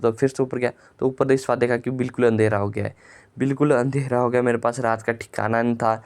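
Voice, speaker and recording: A man with a sore throat narrating his own gameplay for his channel in a plain, flat storytelling way, often repeating words. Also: mid-range voice, clear, resonant tone, no echo. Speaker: gaming channel creator.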